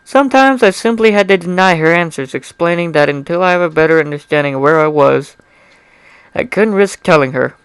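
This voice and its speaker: Clear-voiced man reading fan fiction aloud. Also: a little high, expressive, fairly quick, a bit bright, no room sound. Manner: informal, stumbles over words and corrects himself. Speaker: amateur fan fiction reader. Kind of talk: dramatic story reading with casual asides.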